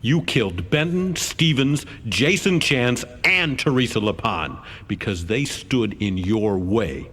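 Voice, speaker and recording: Stern government interrogator, echoing hall. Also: male voice, medium pitch, animated, fairly bright tone. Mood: accusing. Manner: formal, deliberate, prosecutorial. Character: stern, accusing male government investigator, coolly formal. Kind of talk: video game cutscene acting. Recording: long hall reverb.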